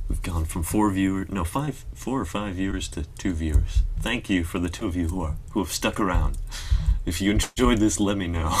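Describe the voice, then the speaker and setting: A man's voice: sits low, moderate pace, a little hiss or hum. A man chatting casually and rambling in a self-deprecating way while playing a computer game on a live stream.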